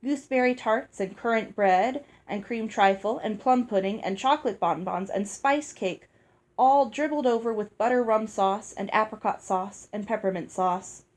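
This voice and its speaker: A woman reading a children's novel aloud as scripted, expressive storybook narration. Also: medium pitch, normal speed. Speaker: audiobook reader.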